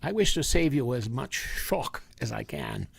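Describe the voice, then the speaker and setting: An actor playing a grave older professor who hunts vampires in a radio drama, lecturing with hesitant deliberation in a gravelly, husky voice. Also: male voice, mid-range voice, lively intonation, measured pace, no echo, full character voice. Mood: measured, commanding. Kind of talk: vintage radio drama acting.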